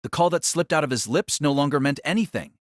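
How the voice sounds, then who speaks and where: A man's voice: on the high side, fast talker, no room sound, studio quality. A man narrating a novel as a dramatic audio story, in a solemn, theatrical manner.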